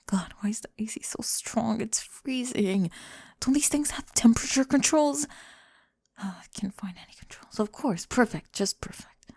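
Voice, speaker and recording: A young woman playing a guarded, flustered college student in an intimate ASMR roleplay, speaking softly and haltingly, often slipping into whispers. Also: a little high, lively intonation, gravelly, slightly rough, dry recording, exaggerated voice. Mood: flustered, complaining. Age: young adult. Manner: halting, sighing, self-conscious. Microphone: close microphone, dry.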